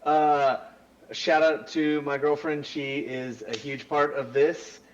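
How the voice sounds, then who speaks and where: A man's voice: a little high, slow, highs cut off. Slangy, eager male guest. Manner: enthusiastic, relaxed slangy speech. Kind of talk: casual chat.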